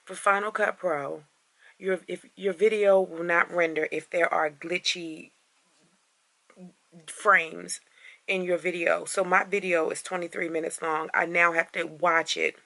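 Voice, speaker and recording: Female vlogger, low gravelly voice, tired and raspy, rambling casual delivery. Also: fairly even intonation, unhurried, sharp tone. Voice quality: raspy deep morning voice. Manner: rambling, many fillers and restarts. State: tired after a night out. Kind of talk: casual vlog chat.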